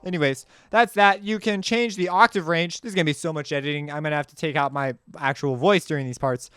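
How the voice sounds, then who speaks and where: A man giving a casual, off-the-cuff software walkthrough as a tutorial host, informal and slangy, rambling as he goes. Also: on the high side, fast, smooth, faint music behind.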